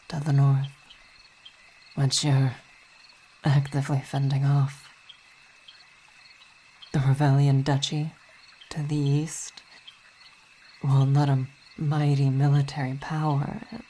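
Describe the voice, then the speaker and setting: Brief: woman performing a weary vampire queen-wife, whispery and halting, very low and flat, faint crickets behind. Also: slight background noise, exaggerated voice, rough recording. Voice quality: soft, often whispered. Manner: halting, reflective, sighing. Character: weary, devoted female vampire ruler and wife. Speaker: ASMR roleplay performer. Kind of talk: ASMR roleplay.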